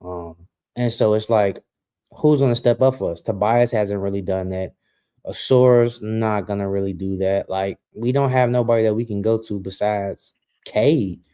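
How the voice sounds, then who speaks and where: Mellow, low-voiced man talking sports. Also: normal speed, clear, resonant tone. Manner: slangy, explanatory. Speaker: sports podcast co-host. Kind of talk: casual basketball analysis.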